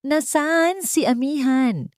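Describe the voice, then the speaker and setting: A woman's voice: high-pitched, slow pace, no echo, studio quality, pitched above the performer's own voice. A woman playing a commanding, worried billionaire father in an audio story, urgent and demanding. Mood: desperate anger.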